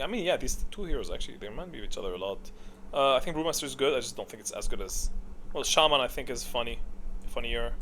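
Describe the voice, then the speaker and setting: A man with an average-pitched voice gives off-the-cuff commentary on a ranked list. He is chatty and thinks out loud, often repeating a short phrase as he makes up his mind. A little noise sits behind his voice. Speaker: video creator ranking game heroes.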